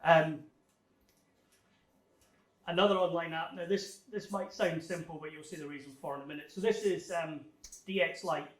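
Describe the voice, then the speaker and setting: A man's voice: on the high side, fairly even intonation, normal speed, pauses often. Echoey, distant man giving an informal talk. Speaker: amateur radio club guest speaker. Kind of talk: informal technical presentation with slides. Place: indoor room, club talk to an audience. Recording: echoey room, distant microphone.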